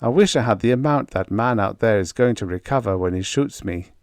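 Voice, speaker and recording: A man's voice: on the low side, fairly quick, darkish tone, no echo, lightly acted. A man voicing a doomed, defiant rich fugitive in an audiobook story, with grandly polite, commanding speech. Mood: amused, wistful.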